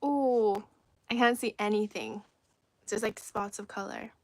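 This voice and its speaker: A woman from China whose first language is Cantonese, chatting casually on a livestream into a phone, reading viewer comments aloud and often dropping to soft half-whispered asides. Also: medium pitch, steady tone, measured pace, dry recording. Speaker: livestream host.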